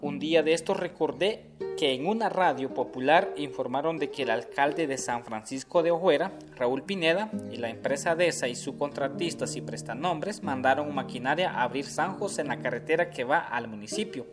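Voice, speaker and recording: A man's voice: fairly high pitch, normal speed, close to an everyday voice. An actor playing an earnest male Honduran activist in a radio drama, chatting in a colloquial way. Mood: earnest, informative. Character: Juancho, man activist chatting earnestly with a comrade. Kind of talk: scripted radio drama dialogue, conversational. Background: plucked guitar music.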